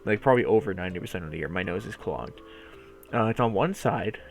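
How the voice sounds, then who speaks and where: A man's voice: fairly low pitch, lively intonation, quick pace, vocal fry, faint music behind. A man with a nasal voice rambling casually and playfully to his viewers, swearing now and then. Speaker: streamer talking to viewers. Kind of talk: casual rambling chat to camera.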